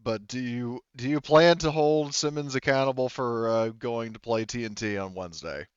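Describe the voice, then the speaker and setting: A man narrates a tabletop game casually as its game master. His voice is smooth and medium in pitch, though the audio is a little dull. He rambles and often restarts sentences. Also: moderate pace, dry recording. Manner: rambling, with many false starts.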